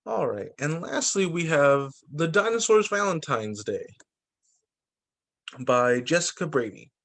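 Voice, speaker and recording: A man who teaches young children, reading picture books aloud in an expressive, sing-song storytime delivery. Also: fairly high pitch, unhurried. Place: remote video call story session.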